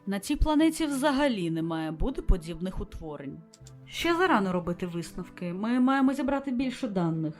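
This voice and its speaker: A woman playing a composed space station commander in a visual novel game, logging mission reports in a formal, measured way. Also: mid-range voice, big pitch swings, natural voice. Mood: composed, reporting. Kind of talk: scripted visual novel voice acting, log narration. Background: music.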